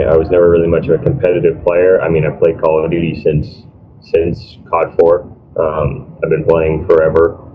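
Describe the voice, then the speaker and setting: Young male, very low voice, hesitant and colloquial casual storyteller, muffled call with faint noise. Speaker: esports team manager. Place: online group call. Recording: dull, noisy low-quality voice call.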